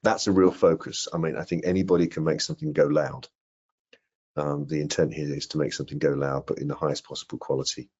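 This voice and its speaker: A middle-aged man with a husky voice giving a product training presentation on a video call and taking questions. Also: very deep voice, little pitch movement, fast talker, darkish tone. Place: indoor room on a video call. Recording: dull, lo-fi video call audio.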